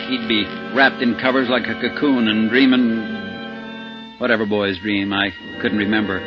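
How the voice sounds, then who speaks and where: An American man playing a steady, wry off-duty homicide detective in a 1950s radio drama, plain-spoken and folksy. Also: lowish voice, natural voice. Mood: reflective, weary. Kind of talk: 1950s radio drama, first-person narration and dialogue. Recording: muffled 1950s radio recording, faint music.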